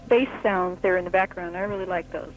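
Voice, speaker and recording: A woman's voice: lowish voice, steady tone, fairly quick, poor audio. An American woman chatting casually as a listener calling in to a radio show by telephone. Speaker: phone-in caller and listener. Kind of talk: casual chat.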